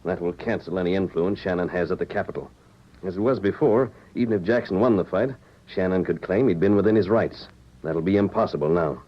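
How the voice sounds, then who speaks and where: An actor playing a resolute masked cowboy hero in a 1940s radio drama, firm and authoritative in delivery. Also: male voice, sits low, brisk. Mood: grave, determined. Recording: muffled old 1940s radio recording.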